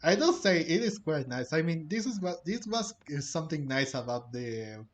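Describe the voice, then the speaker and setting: A man hosting a game playthrough with casual, halting commentary that often circles back and repeats. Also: on the high side, very animated. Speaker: gaming host. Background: music.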